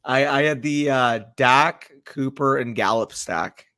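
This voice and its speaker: A young man whose voice is hoarse and worn, sitting at a mid pitch. He chats loosely, teasing his co-host with plenty of slang. The recording is dry. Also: takes it slowly, sharp tone, no echo. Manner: playful teasing, heavy slang. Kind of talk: casual livestream chat.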